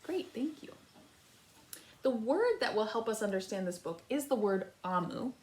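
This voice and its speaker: A woman's voice: on the high side, sing-song, moderate pace. A woman teaching a read-aloud lesson for young children, speaking directly to them and thinking aloud as she goes. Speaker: kindergarten teacher reading aloud.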